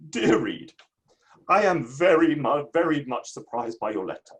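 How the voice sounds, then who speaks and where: A proud, aggrieved Victorian man of letters, played by a male actor in a stage reading. His voice sits fairly high and swings widely in pitch, with formal, theatrical emphasis on his grievances. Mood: troubled. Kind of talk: online dramatic play reading.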